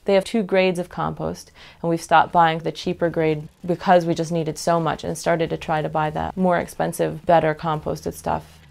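A woman's voice: low voice, flat delivery, normal speed, vocal fry, hardly any pauses, darkish tone. A woman in her thirties, a farmer with an American accent, earnestly explaining her farm's methods in a documentary interview recorded indoors on a lapel mic. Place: living room with bookshelves, indoors.

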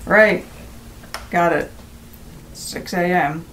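Reserved female guest, lowish voice, terse, blunt, guarded, naturalistic audio drama, slight background noise. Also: drawn-out words, a bit bright. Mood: wary, reserved.

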